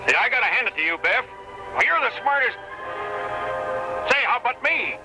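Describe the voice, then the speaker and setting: An actor playing a jumpy, excitable outlaw sidekick with folksy tough talk in an old radio western. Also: male voice, very high voice, normal speed, exaggerated voice, poor audio. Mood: uneasy. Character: jumpy male outlaw sidekick, wanted killer. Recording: vintage radio drama with music bed.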